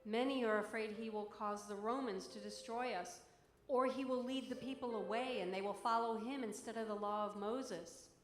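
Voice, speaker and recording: A woman's voice: fairly high pitch, steady tone, normal speed, a little hiss or hum, lightly acted. A woman playing an anxious council member from biblical times, giving an earnest, reflective dramatic monologue. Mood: anxious, hushed. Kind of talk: dramatic monologue reading in church. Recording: echoey church, music behind.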